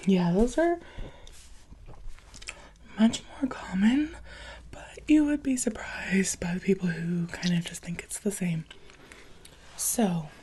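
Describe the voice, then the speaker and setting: Breathy, whispering woman in soft ASMR roleplay. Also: mid-range voice, takes it slowly, pauses often. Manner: gentle, encouraging, conversational. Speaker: ASMR roleplayer playing a restaurant job interviewer.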